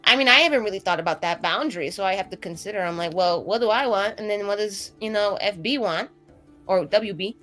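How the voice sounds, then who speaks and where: A woman playing a tabletop roleplaying game, chatting casually in an animated, rambling and frank way. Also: medium pitch, brisk, soft background music. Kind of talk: casual improvised roleplay chat.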